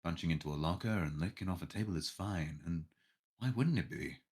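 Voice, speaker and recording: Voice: sits low, brisk, a little mellow, slightly rough. A streamer reading a visual novel's narration aloud in a dramatic way.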